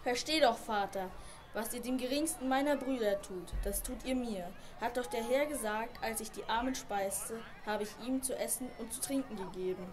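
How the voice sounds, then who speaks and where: A woman plays a young religious idealist in an amateur radio play. His voice is fairly high, and he declaims earnestly and devoutly. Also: moderate pace, natural voice. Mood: earnest, pleading. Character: devout, idealistic young man embracing poverty.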